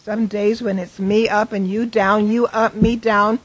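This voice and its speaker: A woman's voice: mid-range voice, flat delivery, unhurried, no room sound, close to an everyday voice, low-quality recording. An actress playing an emotionally worn wife in a radio drama, earnestly pleading with her troubled husband.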